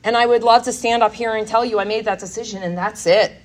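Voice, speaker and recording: A woman's voice: on the high side, fairly even intonation, quick pace. Candid woman sharing recovery story. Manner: candid, emphatic, conversational. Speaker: recovery workshop speaker. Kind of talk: personal testimony talk. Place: workshop hall with audience.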